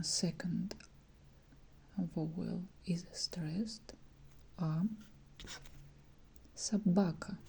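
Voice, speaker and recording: Female teacher, soft breathy whisper, very slow hesitant delivery with fillers, ASMR lesson. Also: lowish voice. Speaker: Russian language teacher.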